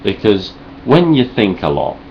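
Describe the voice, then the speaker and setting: Deep-voiced sweary Cockney Essex man. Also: a little slow, muffled recording, a little hiss or hum. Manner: deadpan, sarcastic, rambling, heavy swearing. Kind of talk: sweary deadpan vlog response.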